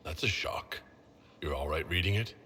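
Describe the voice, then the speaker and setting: An actor playing a resolute, sober military leader in a game cutscene, speaking firmly and deliberately. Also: male voice, very deep voice, bright, forceful tone, slight background noise. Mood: concerned. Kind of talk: video game cutscene drama. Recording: background ambience behind voice.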